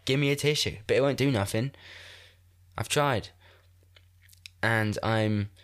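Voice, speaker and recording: A man's voice: medium pitch, slow pace, fairly bright tone. A man in his twenties with a blocked, congested nose, rambling self-mockingly and swearing as he hosts a solo podcast from a room in a shared house. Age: 20s. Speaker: podcast host. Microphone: desk microphone.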